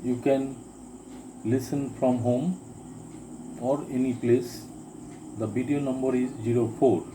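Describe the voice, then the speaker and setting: A man teaching a spoken lesson, reading each drill sentence twice with deliberate care. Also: medium pitch, fairly even intonation, noisy background. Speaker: English teacher. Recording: crickets and insects chirping behind.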